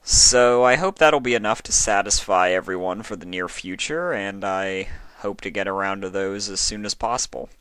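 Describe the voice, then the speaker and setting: A man giving a casual, low-key sign-off to his listeners as a fan fiction reader. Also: normal speed, hardly any pauses, fairly bright tone, clean tone, dry recording, low-quality recording.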